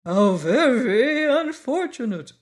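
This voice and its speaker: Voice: mid-range voice, expressive, slow pace, a little mellow, voice pushed up. A reader voicing a pompous, learned male insect who lectures in grand, formal phrases. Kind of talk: audiobook character voice.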